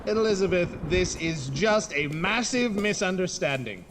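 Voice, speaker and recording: A young mad scientist at the end of his patience, melodramatic and indignant. His high voice swoops dramatically in a comic horror radio play, over audible background noise. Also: sing-song, a little slow, fairly bright tone, heavily acted. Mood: flustered, placating. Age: young adult. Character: frantic, exasperated young male mad scientist.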